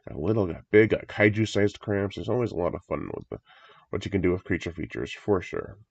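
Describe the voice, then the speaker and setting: A man's voice: low voice, animated, fairly quick, gravelly, breathy edge, no echo. A man with a congested voice from a recent cold, chatting eagerly and rambling as he reacts to a horror movie trailer. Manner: enthusiastic, rambling, chuckles often. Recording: dry, dull lo-fi home recording.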